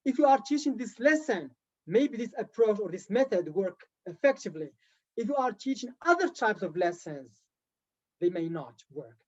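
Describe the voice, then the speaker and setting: A man's voice: high-pitched, very animated, normal speed, a little mellow, highs cut off. A man leading a live online teacher-training session, lecturing in an encouraging way and repeating his key points. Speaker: TESOL trainer.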